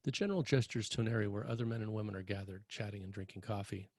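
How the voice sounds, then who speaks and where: Husky, low-voiced male screenplay narrator. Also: rapid-fire, dry recording, clean studio sound. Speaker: narrator reading stage directions.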